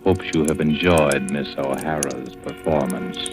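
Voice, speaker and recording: An actor playing an ominous, mysterious narrator, announcing formally and portentously for a 1940s radio mystery series. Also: male voice, extremely low pitch, fairly even intonation, measured pace. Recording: old radio broadcast under loud music.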